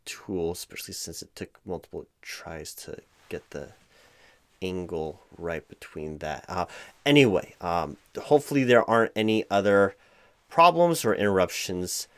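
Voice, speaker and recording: Halting, low-voiced man as flustered hiring manager. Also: animated, no echo. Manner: halting, stammering, awkwardly formal. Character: flustered, distracted, apologetic male hiring manager. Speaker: performer playing a job interviewer. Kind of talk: one-sided comedic audio roleplay, loud spoken.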